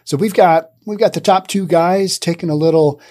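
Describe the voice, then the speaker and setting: Sarcastic man podcasting on a boom mic. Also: normal speed, warm tone. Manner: sarcastic, acts out imagined dialogue. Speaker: podcast host. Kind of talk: casual news commentary. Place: dim studio with brick wall and neon sign. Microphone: studio boom mic.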